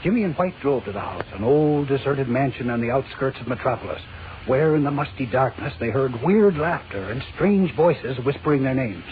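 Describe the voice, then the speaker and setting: A man's voice: medium pitch, expressive, normal speed, slight background noise. Suspenseful male narrator, tinny old radio. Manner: suspenseful, portentous. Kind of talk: dramatic scripted narration, 1940s radio.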